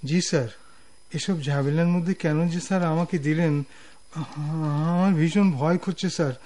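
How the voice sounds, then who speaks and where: A man with a Bangladeshi accent playing a timid, deferential junior office clerk in a radio drama, fretful and excitable. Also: on the high side, measured pace, close to an everyday voice. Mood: anxious.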